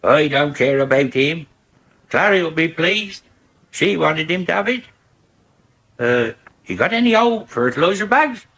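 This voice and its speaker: A man's voice: on the high side, expressive, measured pace, long pauses, fairly bright tone, heavily acted. An actor playing an offhand, stubborn countryman, talking tersely in a radio drama. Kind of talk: naturalistic radio drama acting. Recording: 1980s radio drama broadcast.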